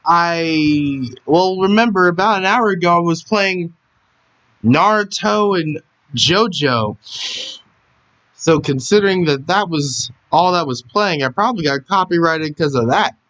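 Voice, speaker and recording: Whiny, animated streamer with lo-fi sound. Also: mid-range voice, measured pace. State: phlegm in the throat. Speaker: live streamer. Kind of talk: casual streaming chat.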